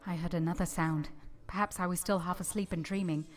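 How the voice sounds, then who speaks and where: Woman acting a low-pitched, earnest young governess. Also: fairly even intonation, faint music behind, lightly acted. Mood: reflective. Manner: measured, polite, literary. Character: earnest, modest young governess recounting her life. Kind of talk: first-person narration in vintage radio drama.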